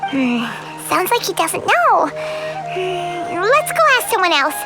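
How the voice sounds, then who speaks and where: A woman voicing a small, chirpy, childlike companion sprite in a video game, eager and blunt, with a squeaky, exaggerated delivery. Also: big pitch swings, takes it slowly, full character voice, low-quality recording. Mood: musing. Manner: bubbly, exaggerated. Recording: loud background music.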